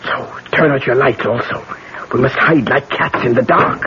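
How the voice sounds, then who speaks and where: An actor playing a vengeful voodoo princess with grandiose, incantatory speech in a 1970s radio drama. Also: male voice, medium pitch, moderate pace, lightly acted. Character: vengeful voodoo sorceress princess, solemn and theatrical. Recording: noisy dull 1970s radio broadcast.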